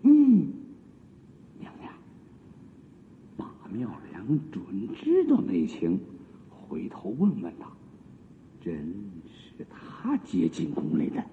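A servile, scheming palace eunuch. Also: male voice, fairly low pitch, huge pitch swings, slow pace, gravelly, pauses often, a little hiss or hum, full character voice. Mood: conspiratorial. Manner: servile, conspiratorial. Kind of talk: theatrical Chinese radio drama acting. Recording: phone-like lo-fi sound in long reverb.

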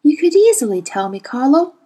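A woman voicing a manipulative, seductive woman who coaxes secrets in a soft, purring way. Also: high-pitched, sing-song, a little fast, pitched above the performer's own voice. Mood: coaxing.

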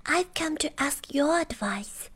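A woman voicing a tiny, timid little man in a storybook reading, with a small, soft, high voice. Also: expressive, takes it slowly, heavily acted. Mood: small, polite.

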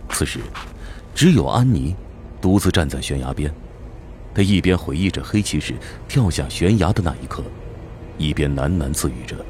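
Solemn fantasy narrator, deep voice with lively swings, faint effects behind. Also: male voice, moderate pace, slight background noise. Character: solemn male storyteller of a fantasy tale. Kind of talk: dramatic scripted narration.

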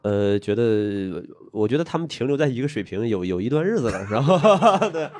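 A man from Northeast China co-hosts with easy self-mockery, chuckling through his sentences. His voice sits at a middle pitch. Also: sing-song, fairly quick, darkish tone. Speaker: podcast co-host. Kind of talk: casual chat.

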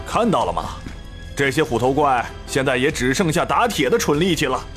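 A man's voice: mid-range voice, expressive, fairly quick, fairly bright tone, close to an everyday voice. A man playing an arrogant, hot-tempered wolf demon soldier who swaggers, sneers and threatens in an audio drama. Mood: sneering contempt. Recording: music under the voice.

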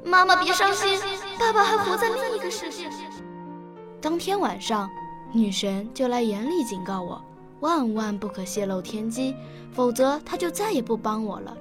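A woman's voice: high voice, moderate pace, over music, natural voice. A woman playing an earnest, emotional nine-year-old girl in a radio drama, with a childlike, eager manner. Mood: consoling. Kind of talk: radio drama acting with first-person narration.

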